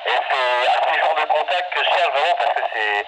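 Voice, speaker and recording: A man's voice: fairly high pitch, expressive, fairly quick, bright, forceful tone. A man chatting enthusiastically and casually as a radio operator, heard over a two-way radio. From France. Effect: two-way radio transmission. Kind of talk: casual radio contact chat. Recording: noisy walkie-talkie radio reception.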